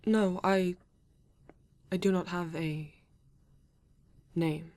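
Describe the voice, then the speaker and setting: Woman as a low, even-voiced British male android. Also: no echo. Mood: polite, reserved. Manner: formal, stiff, deliberate phrasing with halting pauses. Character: formal reserved male android police detective, newly awakened. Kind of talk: ASMR roleplay addressed to the listener.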